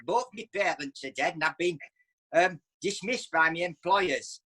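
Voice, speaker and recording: An actor performs a theatrical courtroom swindler with a husky edge and a piercing, bright tone. The delivery is cheeky and wheedling, full of stage flourish. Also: medium pitch, normal speed. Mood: sheepish pleading. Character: defiant, cheeky swindler Horace Binks, down on his luck. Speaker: defendant in court. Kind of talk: courtroom stage play acting.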